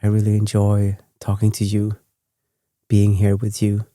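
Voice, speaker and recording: A man with a Swedish accent, English his second language, drifts through slow, meandering bedtime talk in a deep, mellow voice that barely rises or falls. He keeps correcting himself and wandering off the point. The sound is dry and close. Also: monotone, no room sound. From Sweden. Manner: rambling, self-correcting, gently chatty. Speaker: sleep podcast host.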